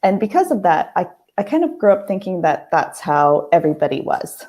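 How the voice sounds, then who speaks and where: A woman hosting a casual heart-to-heart monologue, a little hoarse, talking thoughtfully with many pauses and restarts. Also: fairly low pitch, very animated, darkish tone. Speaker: host. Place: family house, at night.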